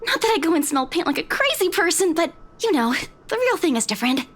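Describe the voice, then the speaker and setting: A woman's voice: extremely high pitch, sing-song, fast, a bit bright, full character voice. A woman playing a nervous, chatty young office worker in a visual novel, babbling casually and eagerly.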